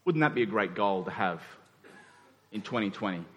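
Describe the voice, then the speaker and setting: Preacher in a reverberant hall. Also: male voice, lowish voice, expressive, fairly bright tone. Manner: earnest, emphatic, with repeated phrases and deliberate pauses. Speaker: pastor preaching to his congregation. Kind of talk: sermon. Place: church hall during a service.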